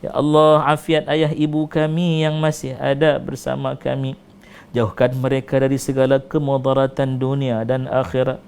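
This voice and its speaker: Malaysian Malay man leading a pleading prayer, smooth voice, fairly level pitch. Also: completely flat delivery, normal speed, darkish tone. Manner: pleading, rising and falling recitation with repeated invocations. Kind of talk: emotional supplication prayer.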